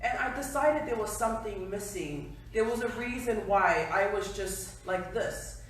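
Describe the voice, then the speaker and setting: A woman's voice: mid-range voice, measured pace, slight background noise. A woman in her twenties from New Zealand telling her personal story to an audience at an event, chatty and laughing at herself. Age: 20s. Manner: chatty, laughing, self-deprecating. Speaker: speaker at a company event. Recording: echoey hall from far off.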